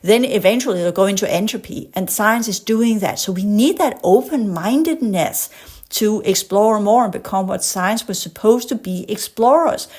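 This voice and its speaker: A woman's voice: medium pitch, moderate pace, a little mellow. A woman with a Danish accent hosts a podcast in English, her second language. She reads an article aloud point by point, then drifts into passionate tangents of her own. Long technical words sometimes trip her up. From Denmark. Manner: passionate, digresses into rants; stumbles over long words. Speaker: podcast host. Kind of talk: reading an article aloud with commentary.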